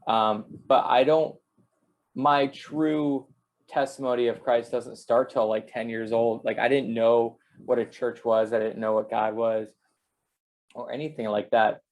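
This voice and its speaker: A man's voice: medium pitch, little pitch movement, measured pace, a little mellow. A man from Canada and the United States, a singer giving a casual personal testimony as an interview guest.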